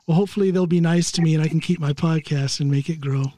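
Man, podcast host, fairly high voice, dry close sound, stammering casual chat. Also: steady tone, quick pace, mellow, dry recording. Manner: agreeable, stammers with repeated words.

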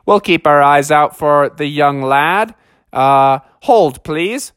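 A man's voice: a little high, slow, a bit bright, clear, resonant tone, no echo, clean studio sound. A man voicing a polite radio dispatcher in a children's story, speaking in a clipped, official way. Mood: businesslike.

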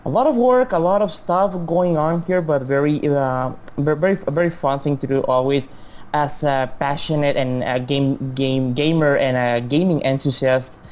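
A man's voice: mid-range voice, darkish tone. A man in his twenties from Mexico, a native Spanish speaker with a Mexican accent, answering podcast interview questions politely and hesitantly, with frequent restarts. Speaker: game studio guest.

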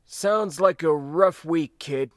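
A man's voice: on the high side, slow pace, a bit bright, no echo, studio quality, pitched above the performer's own voice. A man voicing a proud old spacer cargo hand in an audiobook story, with folksy, clipped, rambling old-timer talk. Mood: wry, friendly. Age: older.

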